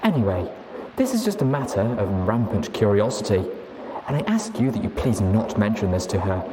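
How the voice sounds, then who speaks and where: A man's voice: fairly low pitch, sing-song, normal speed, noisy background. A man voicing a polite, studious female pony sorceress reading her letter aloud, earnest and formal with hesitant asides. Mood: concerned, courteous. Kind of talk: acted audiobook letter reading.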